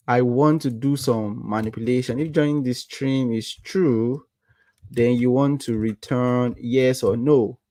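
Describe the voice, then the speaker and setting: A man's voice: mid-range voice, warm tone, no echo. A young Nigerian man with a Nigerian accent, teaching code on a livestream and asking the viewers rhetorical "what?" questions as he goes. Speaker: coding livestream instructor. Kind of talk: live coding tutorial with chat.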